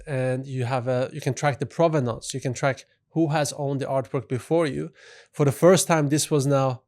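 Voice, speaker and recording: A man from Sweden, a guest CEO on a podcast, telling his story in an easygoing, soft-spoken way with frequent "you know" fillers. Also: mid-range voice. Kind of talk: relaxed storytelling in an interview.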